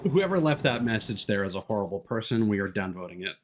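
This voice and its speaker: A man's voice: lowish voice, a little hiss or hum. A man giving casual, off-the-cuff live commentary as he streams a video game.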